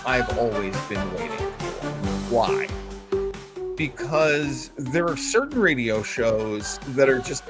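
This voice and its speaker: A man hosting a casual show, rambling, joking at his own expense and interrupting himself when distracted. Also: mid-range voice. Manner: jokey, self-deprecating. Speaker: host. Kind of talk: casual live show chat.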